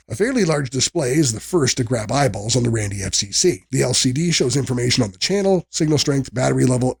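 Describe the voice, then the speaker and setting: A man reading a scripted product review as narrator, in a measured, explanatory way. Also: mid-range voice, creaky, slightly rough.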